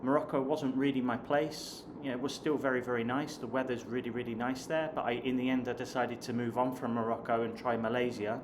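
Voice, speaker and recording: A man's voice: mid-range voice, fairly even intonation, a little fast, few pauses. A man in his late thirties from the south of England, with a southern English accent, chatting casually to viewers as a vlogger. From Hampshire, south of England. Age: late 30s. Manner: chatty, addresses viewers as guys. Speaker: online English teacher and vlogger. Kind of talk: casual talk to camera. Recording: clear background noise.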